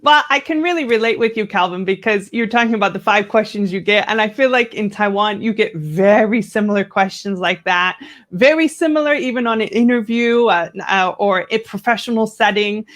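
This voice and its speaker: Animated american woman telling work stories. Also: a little fast. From United States. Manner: animated, acts out quoted dialogue in a higher voice. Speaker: guest, English coach. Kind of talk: conversational storytelling interview.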